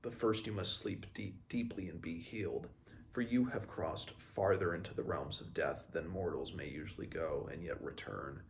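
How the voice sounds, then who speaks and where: A man reading the part of a cold, imperious immortal queen from a fantasy novel in a measured, commanding way. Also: low-pitched, vocal fry, a little hiss or hum.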